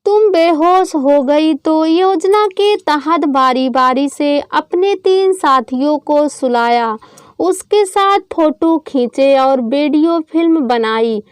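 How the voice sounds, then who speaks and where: Casting: woman reading as callous young college man, cold menace, high voice, slow deliberate threats, audio storybook. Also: expressive, no room sound. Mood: cold, gloating.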